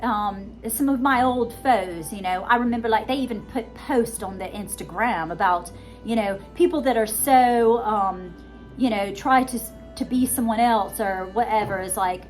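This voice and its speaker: Higher-pitched woman with an adopted British accent. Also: moderate pace, faint music behind, rough recording. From United States, born in Georgia. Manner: rambling, conversational, emphatic. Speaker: vlogger, self-help coach. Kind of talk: casual monologue with self-help teaching.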